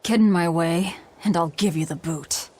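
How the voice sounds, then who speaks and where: A woman's voice: fairly low pitch, normal speed, a little mellow, close to an everyday voice. A woman playing a gloomy, hard-bitten young assassin in a video game, curt, blunt and scornful. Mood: cold, stern.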